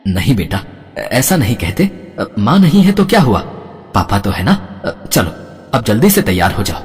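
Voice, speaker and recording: A man's voice: fairly low pitch, big pitch swings, moderate pace. A man voicing a caring father in a cartoon story who coaxes and reassures his daughters. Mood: gentle. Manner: coaxing, reassuring. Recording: reverberant with soft background music.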